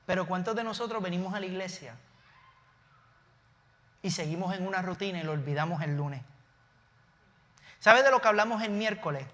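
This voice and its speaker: A man's voice: high voice, moderate pace, long pauses, fairly bright tone, in a large hall. A man from Puerto Rico with a Puerto Rican Spanish accent, preaching a conversational sermon from a church stage, with asides and self-corrections. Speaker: pastor.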